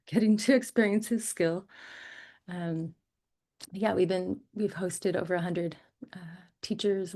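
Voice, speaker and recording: A woman from the United States introducing herself on a group video call, speaking in measured, contemplative phrases. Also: on the low side, mellow. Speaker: singer and course organizer, guest.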